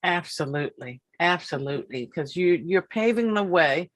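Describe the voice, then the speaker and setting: Woman host, fifties, low-pitched, deliberate and emphatic, repeats phrases for weight. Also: expressive. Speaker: podcast host. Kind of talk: casual interview.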